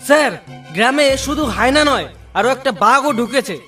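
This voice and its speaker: A man's voice: very high voice, brisk, bright, forceful tone, distant mic, faint music behind. A man voicing a deferential, anxious constable in a cartoon, reporting to his superior. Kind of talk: cartoon voice acting.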